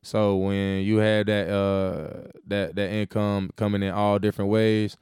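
A young man, hoarse, hosting a loose, rambling, teasing podcast chat. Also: on the low side, little pitch movement, unhurried, a little mellow, no echo, clean studio sound. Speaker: podcast host.

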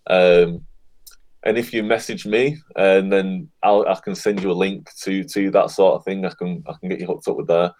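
A man being interviewed as a guest on a podcast, talking in a relaxed, encouraging way. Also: deep voice, normal speed. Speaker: guest, affiliate marketer. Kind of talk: casual interview chat.

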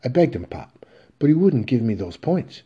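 A man's voice: mid-range voice, sing-song, normal speed, mellow, breathy edge, muffled recording, pitched above the performer's own voice. A man voicing an earnest teenage athlete pleading with his father in a play reading. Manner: eager, pleading.

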